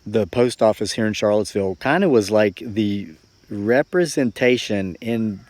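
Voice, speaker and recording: A man's voice: fairly low pitch, normal speed, a little mellow. A man in his forties talking casually and reflectively to viewers as a homesteading vlogger, with a folksy, earnest, rambling way of telling things. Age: forty-four.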